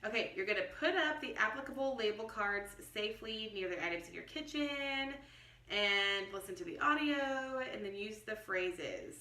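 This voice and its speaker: American mom-age lady doing a casual unboxing, bright clear-cut voice, mic kinda far away. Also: medium pitch, animated, moderate pace. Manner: chatty, informal, explanatory. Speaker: homeschooling vlogger and product reviewer. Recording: roomy echo, distant mic.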